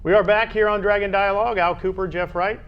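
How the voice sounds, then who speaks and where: Higher-voiced man hosting sports talk, slightly dull sound. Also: fairly even intonation, quick pace. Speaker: sports talk show host. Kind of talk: conversational sports discussion.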